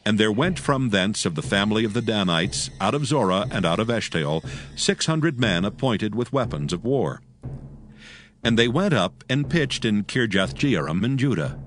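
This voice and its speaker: A man's voice: fairly low pitch, big pitch swings, normal speed. A man narrating dramatized scripture as a solemn storyteller, reading from a script. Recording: faint music behind the voice.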